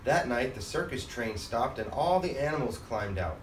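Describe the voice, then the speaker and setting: A man reading a children's storybook aloud as a father and host, in an expressive manner. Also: medium pitch, normal speed. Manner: expressive, acts out character lines. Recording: roomy, distant mic, faint background noise.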